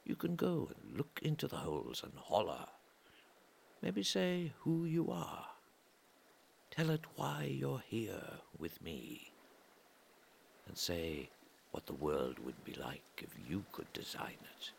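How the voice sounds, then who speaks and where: A man reading an atmospheric literary story in a measured way, pausing between short phrases, with a gravelly voice that often turns breathy and trails off. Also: fairly low pitch, huge pitch swings, slight background noise. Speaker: reader of a literary audio story. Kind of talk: slow atmospheric literary narration.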